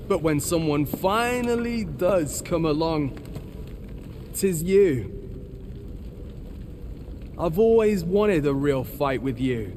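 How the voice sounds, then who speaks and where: An actor playing a wry armed escort in a fantasy game, with an archaic yet colloquial way of talking. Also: male voice, a little high, background noise. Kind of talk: fantasy video game acting.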